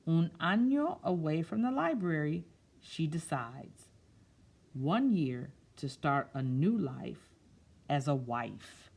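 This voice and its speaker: A woman's voice: low-pitched, sing-song, takes it slowly, vocal fry, a little mellow, breathy edge. A woman reading a picture book aloud to children, drawing out phrases expressively and breaking off into simple, casual explanations. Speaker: children's storyteller.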